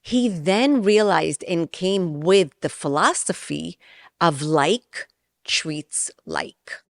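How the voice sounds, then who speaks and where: Low-pitched woman, earnest and explanatory. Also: slow pace, gravelly, dry recording, clean studio sound. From born in Israel, raised in New York from age ten. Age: middle-aged. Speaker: interview guest, homeopath. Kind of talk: conversational interview answers.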